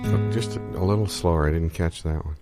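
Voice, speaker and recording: A man playing a mild, earnest listener in improvised comedy, hesitant and deadpan, with music playing behind him. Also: sits low, fast talker, natural voice. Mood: deadpan, agreeable.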